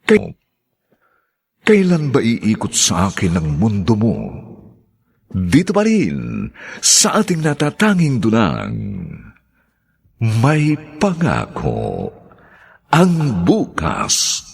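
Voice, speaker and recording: A man's voice: on the low side, wildly swooping pitch, extremely slow, gravelly, long pauses, husky, no echo. A man with a Filipino accent narrating a radio drama in a solemn, declamatory, theatrical announcer's style.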